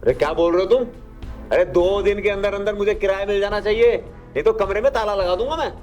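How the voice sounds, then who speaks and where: A man's voice: sits high, fairly quick, darkish tone, muffled recording, soft background music, close to an everyday voice. A man playing an anxious, exasperated elderly landlord in a melodramatic short film.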